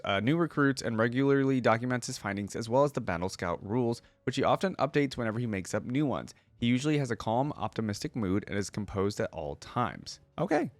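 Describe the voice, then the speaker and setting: A man hosting a reaction video, chatting casually, reading aloud and thinking out loud with asides to viewers. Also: mid-range voice, no echo.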